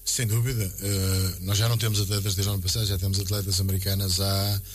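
A man's voice: low-pitched, little pitch movement, quick pace, breathy edge. A man with a European Portuguese accent answering as a club president in a radio interview, explaining with emphatic repetition.

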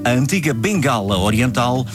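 European Portuguese male radio host, measured scripted delivery, clear music bed beneath. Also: mid-range voice, expressive. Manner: scripted presenter narration. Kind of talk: radio interview.

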